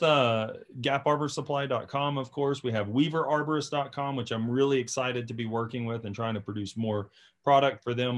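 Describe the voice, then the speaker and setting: A man with a mid-range voice hosts a podcast. He chats casually and leans on words for emphasis, repeating them and tagging on little check-ins as he goes. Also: mid-range voice. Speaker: podcast host.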